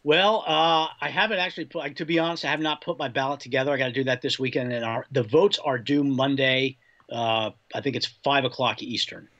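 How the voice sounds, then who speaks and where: Slightly muffled, measured American sportswriter. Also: male voice, medium pitch, fairly even intonation, a bit bright. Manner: measured, reasoning through points. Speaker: sportswriter, podcast guest. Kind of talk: conversational sports analysis in an interview.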